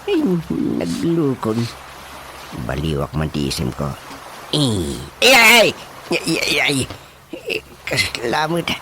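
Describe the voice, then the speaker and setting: An actor with an Ilocano accent playing a flustered, excitable older village chairman in a comic radio drama, stammering and muttering to himself. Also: medium pitch, slow, pauses often, a little hiss or hum, full character voice. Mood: muttering, excited. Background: prominent music.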